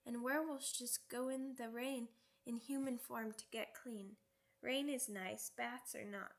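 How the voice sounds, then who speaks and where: High-pitched childlike little werewolf girl. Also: fairly even intonation, a little slow. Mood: grumpy, pouty. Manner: childish wording, pouty, rambling. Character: young werewolf pup girl, cheeky, grumpy, earnest, childlike. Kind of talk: ASMR roleplay audio drama.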